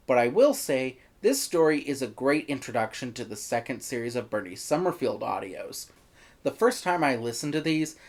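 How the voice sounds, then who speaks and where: A review host giving semi-scripted commentary in an informal way, with enthusiastic asides. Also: mid-range voice. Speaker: host, audio drama reviewer.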